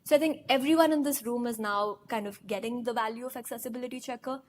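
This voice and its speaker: A woman's voice: a little high, darkish tone, a little hiss or hum. Upbeat woman presenter. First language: Hindi. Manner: brisk, upbeat, asks the audience questions. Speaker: conference presenter. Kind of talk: live product demo talk. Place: large conference hall.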